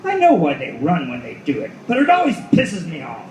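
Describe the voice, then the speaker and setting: Man playing a hardboiled noir detective, animated, roomy sound. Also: on the high side, fairly quick. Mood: cold, menacing. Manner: world-weary, profane, sardonic. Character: hardboiled cynical male detective at the world's end. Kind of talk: noir radio play, first-person narration. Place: live reading in a roomy venue. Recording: roomy live recording with faint noise.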